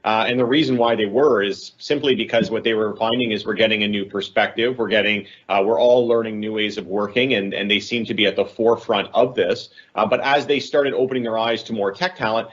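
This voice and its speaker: A man's voice: lowish voice, fairly even intonation, brisk, few pauses, breathy edge, muffled recording. A man speaking as a corporate talent head on a panel, explaining his points reflectively and correcting himself as he goes. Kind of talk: conversational panel answer.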